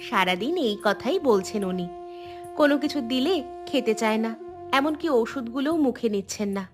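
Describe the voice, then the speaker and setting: A woman's voice: a little high, lively intonation, normal speed, over music, close to an everyday voice. An actress playing a stern village mother in an audio drama, nagging with a sarcastic edge. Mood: complaining. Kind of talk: acted audio story dialogue.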